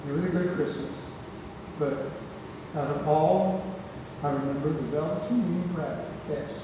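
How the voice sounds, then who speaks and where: A man's voice: a little high, unhurried, natural voice. A man playing a spirited old grandfather who tells adventure tales emphatically in a stage play read-through. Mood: nostalgic. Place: indoor theatre, recorded from afar. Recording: roomy echoing hall, distant mic, noisy.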